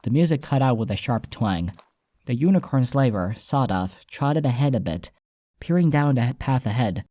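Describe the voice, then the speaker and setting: A man's voice: mid-range voice, animated, normal speed, mellow. A man reading a scripted first-person story as an audiobook narrator, with soundtrack music behind him.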